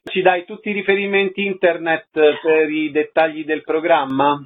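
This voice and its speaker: A man's voice: on the high side, flat delivery, moderate pace. A man hosting a radio show with concise announcements and questions. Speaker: radio host, interviewer. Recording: narrow lo-fi radio sound.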